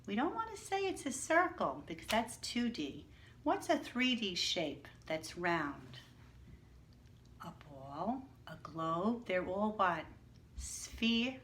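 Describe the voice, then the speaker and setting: A woman's voice: mid-range voice, a little hiss or hum. A woman teacher reading a picture book aloud to young children, stopping to explain words and ask the class questions. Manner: slow, clear, expressive teacher voice, asking the class questions. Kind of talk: children's story read-aloud with teaching asides.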